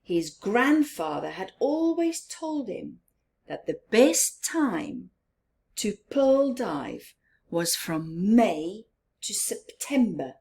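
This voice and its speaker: A woman hosting a children's story time, reading aloud and pausing to explain things simply and ask young listeners questions. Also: medium pitch, warm tone, slightly rough.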